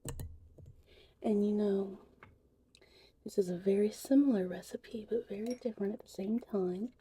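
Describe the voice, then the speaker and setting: A woman whispering softly and breathily in an unhurried, chatty ASMR witch potion tutorial roleplay. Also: medium pitch, a little hiss or hum. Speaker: ASMR host.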